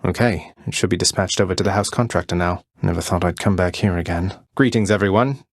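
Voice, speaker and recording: A low, closely recorded male voice with very little room around it. He is a young underworld prince from a video game, rebellious yet earnest. He speaks in a polite, understated, conversational way edged with dry wit. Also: quick pace, no room sound. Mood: polite, easygoing. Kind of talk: video game character voice lines.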